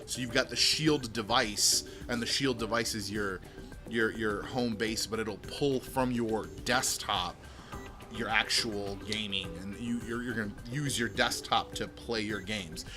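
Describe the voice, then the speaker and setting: A man hosting a tech show in casual, rambling commentary with a loud speaking voice, often repeating and restarting his phrases, close to a microphone. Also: on the low side, steady tone, unhurried, over music. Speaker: tech video host. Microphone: microphone close in front.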